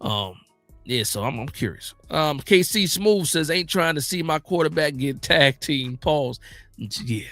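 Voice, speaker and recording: An American man hosting a casual football livestream, reading out viewer comments and reacting in slangy, animated, informal talk. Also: fairly high pitch, unhurried, no room sound, faint music behind. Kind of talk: casual fan chat about football.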